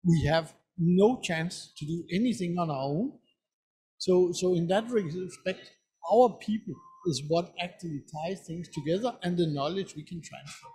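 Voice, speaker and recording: A middle-aged man with a Danish accent speaks as a panelist at an indoor conference stage, earnest and emphatic, doubling back on his words. His voice sits fairly high for a man and has a husky edge, picked up by a stage mic. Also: expressive, normal speed, warm tone, poor audio. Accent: Danish, from the west coast. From Denmark.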